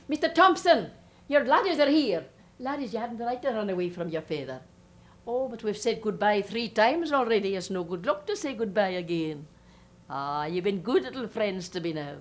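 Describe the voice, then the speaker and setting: A woman's voice: medium pitch, natural voice. Woman playing an earnest young Scottish lass. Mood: fond farewells. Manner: earnest, chatty, rambling. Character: young Scottish immigrant bride-to-be, earnest, chatty, hopeful. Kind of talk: one-woman character monologue.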